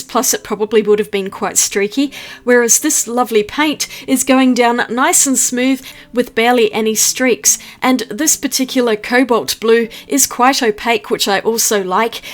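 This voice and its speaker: A woman from New Zealand, an art vlogger narrating a voice-over with a chatty, upbeat manner, explaining each step. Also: a little high.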